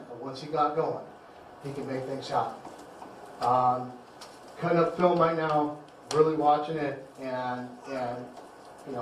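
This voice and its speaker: A man who coaches high school football, giving a heartfelt banquet speech, halting and repeating words as emotion catches him. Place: banquet hall. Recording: echoey hall, distant mic, faint noise.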